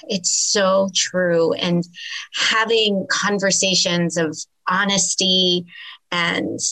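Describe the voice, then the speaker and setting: A woman hosting a podcast, responding in a reflective, measured way. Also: lowish voice, fairly bright tone, low-quality recording. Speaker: podcast host. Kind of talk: conversational podcast chat.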